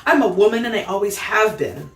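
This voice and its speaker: A woman's voice: medium pitch, expressive, a little fast, fairly bright tone, echoey room, recorded from a distance, faint music behind, voice pushed up, close to an everyday voice. A woman voicing a bold, proud and playful young transgender woman in a storybook, with a sassy, exclamatory manner.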